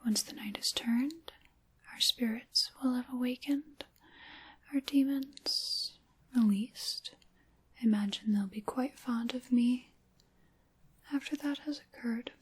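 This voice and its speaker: A woman voicing a sinister, mock-courteous dark witch for an ASMR roleplay, in a breathy whisper with theatrical, formal wording. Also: a little high. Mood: scheming, hushed. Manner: slow, theatrical, formal old-fashioned wording.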